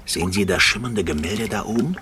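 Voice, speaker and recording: An actor playing a sturdy, practical sailor in a radio drama, speaking tersely with a gravelly voice. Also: male voice, on the low side, brisk, bright, forceful tone. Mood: determined. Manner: terse, urgent, plain-spoken. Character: shaggy, sturdy sailor companion, bold and practical. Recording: 1970s radio play with clear noise.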